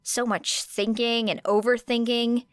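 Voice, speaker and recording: A woman reading a fiction audiobook as narrator, with expressive scripted delivery. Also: a little high, a little slow, clean tone, no room sound, clean studio sound.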